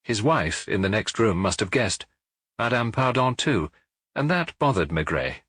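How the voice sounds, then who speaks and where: Male audiobook narrator, measured and expressive, husky voice, dry close recording. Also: fairly low pitch, no echo.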